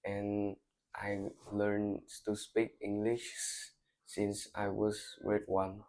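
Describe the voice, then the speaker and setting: Slow, hesitant teen boy, Vietnamese accent. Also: lowish voice, monotone, darkish tone. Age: teens. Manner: hesitant, halting, with fillers. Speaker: student candidate. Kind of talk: answering exam questions.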